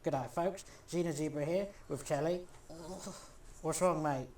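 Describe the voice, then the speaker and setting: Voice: mid-range voice, a little slow, darkish tone, natural voice. A performer voicing a folksy, chummy zebra puppet who hosts a puppet show. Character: puppet zebra show host with an Australian greeting.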